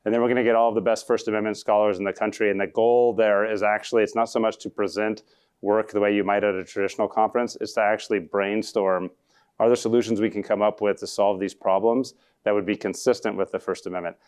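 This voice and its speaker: Man, deep voice, law professor, audience Q&A, earnest, self-correcting, lowish pitch. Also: little pitch movement, quick pace, a little mellow, clear, resonant tone. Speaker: law professor, panel speaker. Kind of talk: answering audience questions at a talk.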